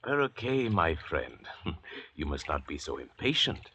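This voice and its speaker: An actor playing a worldly, educated convict doctor and murderer in an old radio drama, urbane and deliberate, with a condescending manner. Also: male voice, a bass voice, very animated, sharp tone, husky, full character voice. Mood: calm, patronizing. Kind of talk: 1940s radio drama acting. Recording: vintage radio broadcast.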